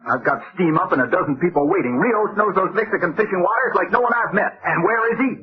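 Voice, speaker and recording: An actor playing a boastful, impatient fishing boat captain who blusters through an old radio drama. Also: male voice, sits high, fast talker, faint music behind, close to an everyday voice. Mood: blustery, impatient. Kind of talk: mid-century radio drama acting. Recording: muffled old radio recording.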